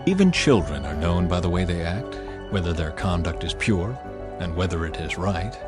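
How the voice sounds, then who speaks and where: A man reading scripture as scripted dramatized narration, in a measured, emphatic and expressive way. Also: sits low, over music. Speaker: audiobook Bible narrator.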